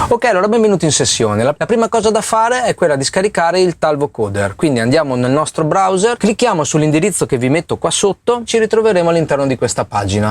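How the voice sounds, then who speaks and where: A man hosting an informal step-by-step music software tutorial, explaining things and often checking in with short tags. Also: a little high. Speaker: host of a music production tutorial channel.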